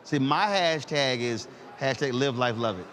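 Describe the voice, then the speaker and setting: A man's voice: mid-range voice, lively intonation, moderate pace, a bit bright, a little hiss or hum. A man in his fifties hosting a conversational interview, speaking emphatically and repeating words as he builds a point. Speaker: talk show host. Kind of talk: conversational interview with personal anecdotes.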